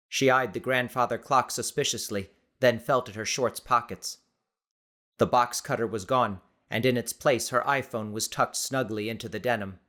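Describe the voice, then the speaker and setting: A male audiobook narrator with a medium-pitched voice. He reads scripted fiction in a measured, expressive way, with dramatic storytelling phrasing.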